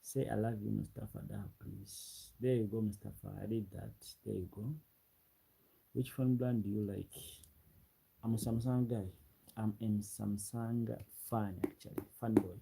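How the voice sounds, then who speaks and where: A man's voice: fairly low pitch, unhurried, creaky, slightly rough, rough recording. A young man from Uganda, chatting loosely on a late-night livestream, often repeating himself. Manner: repeats phrases, relaxed and rambling. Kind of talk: late-night livestream chat, reading comments.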